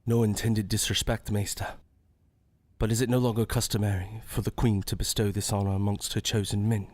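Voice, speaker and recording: A man's voice: fairly low pitch, little pitch movement, brisk, a little mellow, dry recording, natural voice. A man playing an earnest young knight. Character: proud young male knight. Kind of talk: audio drama acting.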